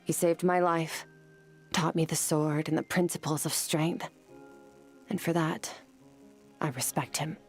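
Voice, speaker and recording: A woman playing a stoic young swordswoman in a video game, somber and driven, speaking in a grave, measured way. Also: deep voice, fairly even intonation, faint music behind. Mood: grave, respectful. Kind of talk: scripted video game voice acting.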